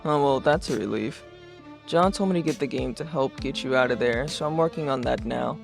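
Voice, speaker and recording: A man's voice: mid-range voice, a little fast, creaky, close to an everyday voice. A man playing a laid-back, sarcastic, secretly uneasy teenage boy who talks in casual, sweary slang in a webcomic fan dub. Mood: nonchalant. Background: music.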